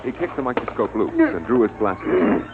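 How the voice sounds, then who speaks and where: A man acting as a grave narrator of an old science fiction radio drama, formal and measured. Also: medium pitch, wildly swooping pitch, heavy background noise. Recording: muffled vintage radio recording, music behind. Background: dramatic music.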